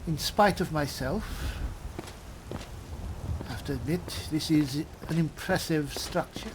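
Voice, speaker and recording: A man's voice: a little high, slow pace, noisy background. A man roleplaying a haughty elf as he comments on a fantasy game, dry and condescending. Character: haughty male high elf spellsword. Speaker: gamer, roleplay series host.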